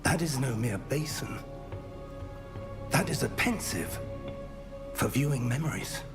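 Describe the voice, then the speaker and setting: Slow-spoken, learned wizard mentor. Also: male voice, mid-range voice, lively intonation. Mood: intrigued. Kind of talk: video game cutscene acting. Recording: loud music over the voice.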